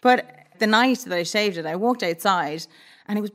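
A woman's voice: mid-range voice, normal speed, fairly bright tone. A young woman answers questions as a talk show guest. She tells her story in a plain, matter-of-fact way, with an easy conversational rhythm and an ordinary mid-range voice. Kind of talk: casual interview chat.

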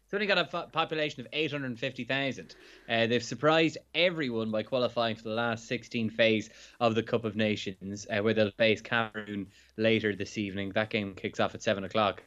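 Irish sports journalist guest, low croaky voice, dull line, chatty with restarts. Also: male voice, fairly even intonation, fairly quick, a bit bright. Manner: chatty, laughing, with self-interrupting restarts. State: slightly hungover and croaky. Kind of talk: radio phone-in chat.

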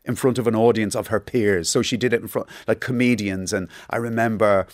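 A man's voice: fairly low pitch, steady tone, fairly quick. A man in his fifties, a chatty guest telling stories in an interview and laughing easily. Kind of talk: relaxed interview chat.

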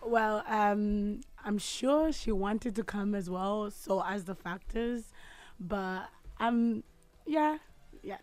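A young woman from Zimbabwe answers interview questions in a radio studio. She speaks softly and keeps her answers short, with fillers between them. Her voice is mid-pitched and the sound is close and dry. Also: takes it slowly, no room sound. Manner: soft-spoken, brief answers with fillers. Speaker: guest, spoken word artist.

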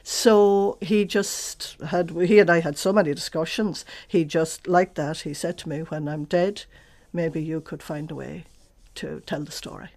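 A woman speaking as an interview guest in a serious, measured and emphatic way. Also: low-pitched, steady tone, darkish tone. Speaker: theologian and author, interview guest. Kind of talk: serious, deliberate interview talk.

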